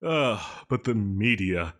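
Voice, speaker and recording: A man's voice: fairly low pitch, expressive, slow pace, fairly bright tone. A man playing a needy, possessive supervillain in a roleplay audio drama, melodramatic and prone to giggles and stammers. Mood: bitter self-pity. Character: male yandere supervillain, theatrical, needy, possessive, self-pitying, lovestruck.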